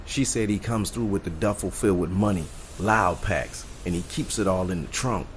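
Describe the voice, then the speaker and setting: Very low-voiced street-smart schemer, played by a man. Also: moderate pace, a little hiss or hum, close to an everyday voice. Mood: confident, scheming. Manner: slangy, laid-back street talk. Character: street-smart, confident neighborhood man planning a heist. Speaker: storytime host and character actor. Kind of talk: audio drama acting.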